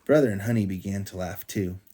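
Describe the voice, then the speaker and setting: A man reading a storybook aloud to a child as a father does at bedtime, in an animated storytelling style. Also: sits low, a little fast.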